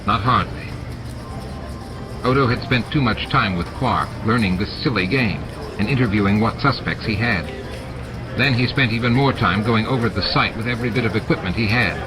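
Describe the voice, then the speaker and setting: A man's voice: on the low side, fairly quick, over music. A man reading scripted story narration as an audiobook narrator. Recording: noisy audiobook with added sound effects. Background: added sound effects and ambience.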